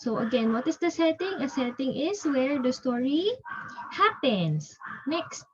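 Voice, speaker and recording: A woman teacher giving a reading lesson, explaining step by step in a halting, repetitive way and checking understanding. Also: high voice, sing-song, highs cut off, noisy background.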